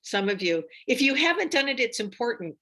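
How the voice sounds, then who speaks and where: A woman's voice: a little high, big pitch swings, fairly quick, pushed and bright. A woman giving an enthusiastic, chatty workshop talk over a video call, with frequent fillers. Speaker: workshop presenter.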